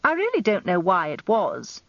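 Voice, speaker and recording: A woman's voice: mid-range voice, huge pitch swings, normal speed, dry recording, dull audio. An older woman with an English accent giving scripted first-person dramatic narration as a barrister's wife, confiding and wry.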